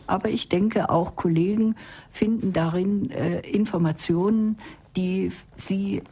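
A woman's voice: mid-range voice, fairly even intonation, a little slow, warm tone, breathy edge. A woman speaking as an expert guest in a radio interview, explaining things for parents and teachers.

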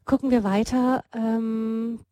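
A woman hosting a radio talk show, calmly thanking a caller and guiding the conversation. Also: fairly high pitch, takes it slowly, no room sound. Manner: calm, thanks callers, sums up gently. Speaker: radio host.

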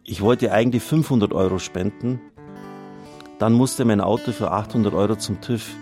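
A deep-voiced priest at the microphone of a religious radio fundraiser, earnestly reading out listeners' letters while a guitar plays faintly underneath. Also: male voice, moderate pace, warm tone. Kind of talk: reading out listener testimonials and appealing for donations on air.

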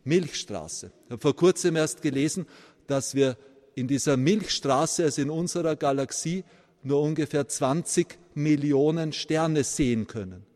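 A man's voice: on the high side, unhurried, big-room sound. A man from Vienna with a Viennese accent, preaching a sermon with emphatic peaks and frequent asides. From Vienna, Austria. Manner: animated preaching, rising to emphatic peaks, tag questions like "ja?". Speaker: priest.

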